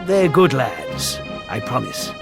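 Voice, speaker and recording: A man's voice: mid-range voice, huge pitch swings, takes it slowly, creaky, over music, heavily acted. A man playing a portly, fatherly middle-aged circus ringmaster in a video game. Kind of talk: video game cutscene acting.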